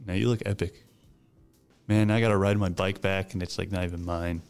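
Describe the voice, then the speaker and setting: A young man co-hosting a casual podcast, joking around and reciting a movie monologue slowly. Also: low-pitched, steady tone, a little mellow, clean tone, faint music behind. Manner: laid-back, joking, slow dramatic recitation. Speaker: podcast co-host. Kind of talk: casual chat.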